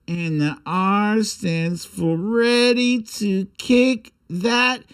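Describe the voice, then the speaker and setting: A man hosting a comic political livestream, speaking with sarcastic, irreverent bite and theatrical, drawn-out emphasis. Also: high-pitched, fairly even intonation, clear, resonant tone. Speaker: host of a political humour livestream. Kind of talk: comic political commentary and mock storytime reading.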